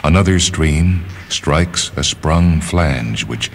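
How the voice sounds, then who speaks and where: A man reading a literary story as scripted narration, in a measured, deliberate manner, phrase by phrase. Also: a bass voice, expressive, a little hiss or hum. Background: music.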